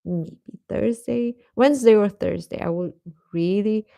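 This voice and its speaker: A woman hosting a casual livestream, chatting to viewers in a gentle, encouraging, affectionate way. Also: medium pitch, measured pace, vocal fry, no room sound, studio quality. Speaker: livestream host.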